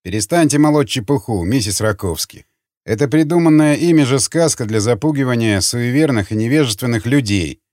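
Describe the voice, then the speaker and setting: A man's voice: medium pitch, lively intonation, brisk, a little mellow, no echo, clean studio sound, lightly acted. A man voicing a sardonic, needling councilman in an audiobook, with a mocking and theatrical manner. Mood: dismissive. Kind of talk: audiobook character acting.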